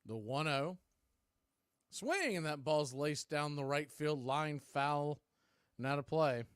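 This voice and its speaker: A man calls baseball live, play by play. His voice sits high and sounds clear and smooth. The recording is dry, with hardly any room around it. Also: animated, slow, no echo.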